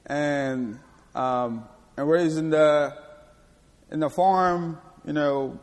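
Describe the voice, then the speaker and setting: A man from Haiti speaking with a slightly high voice, telling his story as a guest in a large hall with a long echo. He hesitates a lot and often restarts his sentences. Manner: halting, with frequent restarts and laughs while telling hardships. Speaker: interview guest. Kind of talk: personal testimony in a church interview. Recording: reverberant hall.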